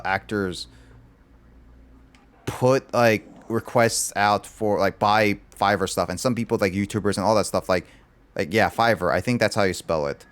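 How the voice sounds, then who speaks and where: A man chatting casually on a livestream, rambling and filling his talk with "like". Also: on the low side. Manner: rambling, loaded with "like" fillers.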